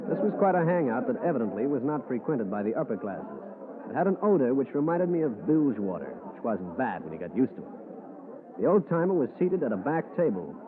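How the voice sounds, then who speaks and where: An actor playing a dry, wisecracking hardboiled private detective who also narrates the story, in 1940s radio style. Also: male voice, mid-range voice, natural voice. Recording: tinny old radio broadcast.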